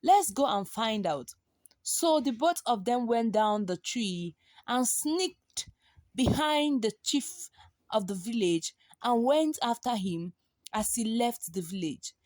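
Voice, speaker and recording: Female storyteller, higher-pitched, very lively intonation, dramatic folktale delivery. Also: dry recording. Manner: expressive, with gasps and drawn-out repeated words for effect.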